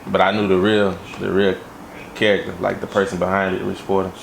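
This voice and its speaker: A man's voice: sits low, monotone, a little fast, vocal fry, background noise. A young man from Los Angeles raised in Baton Rouge, a music video director answering interview questions in a laid-back way with lots of fillers. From Los Angeles, raised in Baton Rouge, Louisiana.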